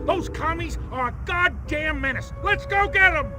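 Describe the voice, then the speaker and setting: A man voicing a gung-ho, hot-headed American military hawk in a video game, excitable and theatrical. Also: very high-pitched, normal speed, music in the background. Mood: outraged, gung-ho.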